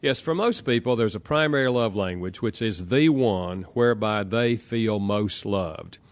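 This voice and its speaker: A man with a Southern American accent, an author answering interview questions in a calm, explanatory way and walking through examples. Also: fairly low pitch, measured pace. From Southern United States.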